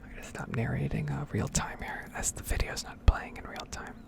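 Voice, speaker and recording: Soft-spoken man with heavy creaky fry. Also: medium pitch, fairly even intonation, fairly quick, whispered. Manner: rambling, hesitant. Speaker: gaming video host. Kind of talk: ASMR, soft-spoken and whispered game commentary.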